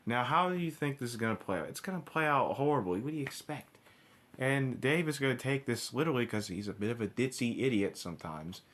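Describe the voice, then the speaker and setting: A man with a medium-pitched voice delivers an informal, opinionated review rant. He is blunt and swears freely. His delivery is halting, circling back and restarting phrases as he goes. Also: lively intonation, fairly bright tone. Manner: halting, with repeated restarts and backtracking; blunt, heavily profane. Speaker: cartoon episode reviewer. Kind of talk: informal ranting commentary.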